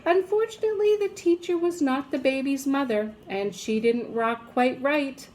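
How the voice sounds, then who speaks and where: A woman teacher reading a children's story aloud in expressive narration, stretching out repeated phrases for emphasis. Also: high voice, normal speed, slight background noise, poor audio.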